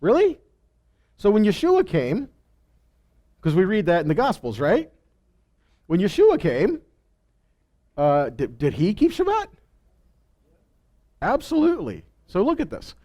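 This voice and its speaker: Male Bible teacher, high register, highly varied intonation, emphatic rhetorical questions and repeated phrases. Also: wildly swooping pitch, a little slow, pauses often, mellow. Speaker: preacher teaching scripture. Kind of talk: teaching sermon with rhetorical questions and scripture reading.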